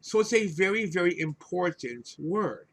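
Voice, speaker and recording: A man giving an instructional vocabulary lesson as a teacher, explaining things in a deliberate, emphatic way. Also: sits high, lively intonation, creaky, fairly bright tone. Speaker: English teacher, host.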